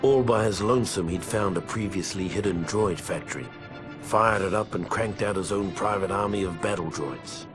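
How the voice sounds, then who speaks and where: An actor playing a weathered veteran clone trooper, narrating his war memoirs for a video game in a measured, grave, wry soldierly manner. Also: low voice, natural voice. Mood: wry, dry. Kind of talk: scripted in-character journal narration for a game. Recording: music behind the voice.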